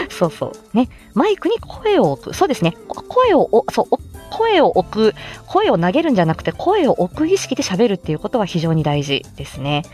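A woman's voice: fairly high pitch, huge pitch swings, normal speed, a little mellow, soft background music. A woman hosting a casual livestream, chatting and giving encouraging tips in the manner of an amateur voice actor. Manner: chatty, encouraging, often chuckling.

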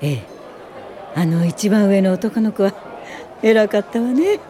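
An actress playing a resolute, compassionate female social worker and mentor in a radio drama, earnest and gently indignant. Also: medium pitch, animated, unhurried, some chatter behind, slight background noise, close to an everyday voice. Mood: admiring, concerned. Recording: studio radio drama with music underneath.

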